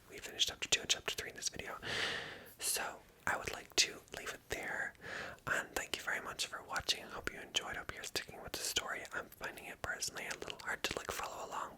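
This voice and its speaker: An ASMR host reading aloud in a hushed, gentle, breathy whisper with no voiced tone. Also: low-quality recording.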